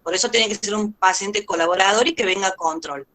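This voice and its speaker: A woman co-hosting an online dental surgery lecture answers questions from the chat. Her tone is bright and crisp. She explains at ease, pausing on fillers and repeating words. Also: medium pitch. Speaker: oral surgery lecturer and webinar co-host. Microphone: video call.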